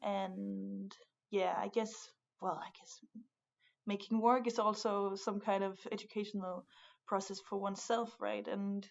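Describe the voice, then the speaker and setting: A young woman from Denmark with a Danish accent, speaking English haltingly in a casual conversation, full of fillers and restarts. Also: mid-range voice, level tone, gravelly, muffled recording. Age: young adult. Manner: hesitant, many fillers and restarts. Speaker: art student, guest.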